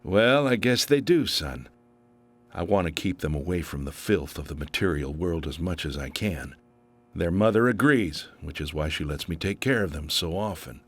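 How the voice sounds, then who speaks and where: A man's voice: low voice, expressive, normal speed. A man playing a stern, protective older grandfather in a video game, with a solemn, admonishing manner. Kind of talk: video game cutscene acting.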